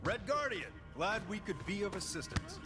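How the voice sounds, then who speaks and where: A man's voice: high voice, sing-song, moderate pace, loud music behind the voice. A man playing an earnest, authoritative superhero team leader in a video game cutscene. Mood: businesslike, steady. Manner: businesslike, authoritative.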